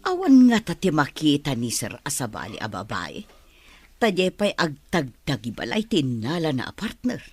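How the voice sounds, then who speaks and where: A woman's voice: deep voice, wildly swooping pitch, normal speed, darkish tone, breathy edge, full character voice. A woman with an Ilocano accent acting in a radio drama as an inquisitive, concerned member of a mansion household. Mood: worried.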